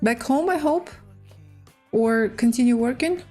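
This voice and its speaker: A woman with a Russian accent, a native Russian speaker, hosting a casual chess livestream in halting, hesitant English. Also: a little high, mellow, soft background music. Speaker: livestream host and chess player. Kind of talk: casual livestream chat while playing chess.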